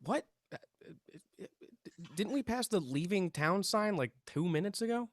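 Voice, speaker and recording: A man's voice: sits high, lively intonation, measured pace, dry recording, studio quality. A man playing an intense, earnest young amateur paranormal investigator in a script read, self-important and conspiratorial. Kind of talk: table read of a TV pilot script.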